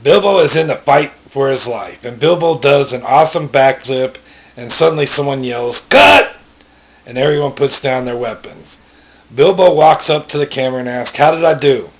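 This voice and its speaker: A man narrating a story in an amateur read-aloud style, plainly, with pauses and stumbles. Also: medium pitch, gravelly.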